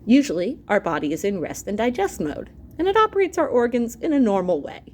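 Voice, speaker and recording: Expressive woman reading a science book aloud. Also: a little high, a little hiss or hum. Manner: explanatory, expressive, pausing to clarify terms. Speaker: teacher reading aloud to students. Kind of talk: educational read-aloud of an illustrated science book.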